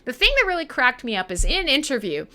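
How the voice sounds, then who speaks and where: A woman's voice: sits high, big pitch swings, quick pace, sharp tone. A woman hosting a music show in an irreverent, sweary monologue with sassy, dramatic emphasis. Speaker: radio show host.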